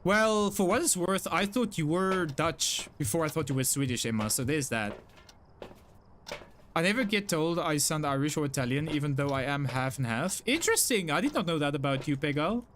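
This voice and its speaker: Man, South African, Afrikaans English accent, young adult, casual streamer chat, repeats himself, slightly high voice, faint hiss. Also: lively intonation, moderate pace, a bit bright. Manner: chatty, apologetic, frequent self-repeats and "like" fillers. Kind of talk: casual live-stream chat reading chat messages.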